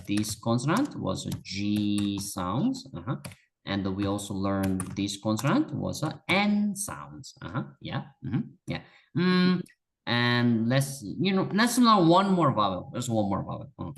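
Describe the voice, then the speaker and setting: A native Korean speaker teaching in English with a clear Korean accent. The voice rises and falls a lot as they explain. They are patient and encouraging, and they often say things twice for emphasis. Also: medium pitch, very animated, measured pace, a little hiss or hum. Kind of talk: one-on-one language lesson.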